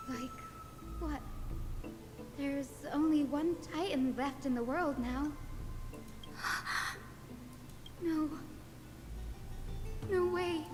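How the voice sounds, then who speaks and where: A woman voice actor playing a sharp-minded young investigator in a video game, reasoning deliberately and dramatically. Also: sits high, full character voice. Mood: alarmed. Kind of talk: scripted game voice acting. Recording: long reverb under loud game music.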